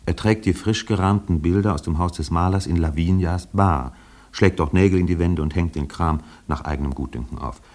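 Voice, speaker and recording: Man doing radio drama narrator, super deep voice, dry and wry, composed. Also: a little fast, a little mellow, natural voice. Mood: wry, matter-of-fact. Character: matter-of-fact, wry male storyteller of village life. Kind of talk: scripted radio play narration.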